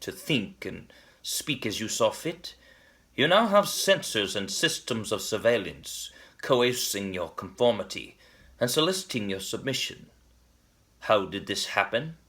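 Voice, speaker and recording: A man performs a defiant male revolutionary delivering a theatrical address to the nation. The voice is mid-pitched, forceful and bright, with sweeping intonation. Every phrase is deliberate and grandly weighted, like formal oratory. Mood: grave, accusing. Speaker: actor performing a monologue. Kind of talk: theatrical dramatic monologue, public address.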